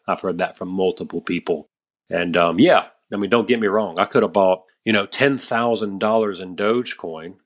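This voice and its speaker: Energetic, emphatic man in his thirties. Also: fairly low pitch. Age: 30s. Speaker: guest. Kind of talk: podcast conversation.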